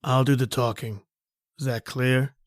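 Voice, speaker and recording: Actor as cold, controlling killer on the run. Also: male voice, mid-range voice, a little slow, no echo, clean studio sound, natural voice. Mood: curt, commanding. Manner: terse, flat threats in casual tough-guy phrasing. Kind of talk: old-time radio crime drama acting.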